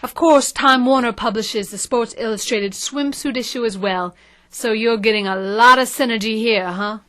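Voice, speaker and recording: Woman playing a perky, high-voiced radio co-host. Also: moderate pace, sharp tone, no room sound. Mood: pleased. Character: perky, smooth-selling female book radio co-host. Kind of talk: satirical radio host patter.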